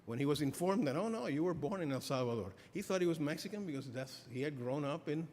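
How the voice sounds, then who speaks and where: A middle-aged man giving an academic lecture as a professor, halting with many fillers and restarts. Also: fairly high pitch, animated, darkish tone, a little hiss or hum. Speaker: professor giving a keynote address. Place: lecture hall. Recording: reverberant hall, faint ambience.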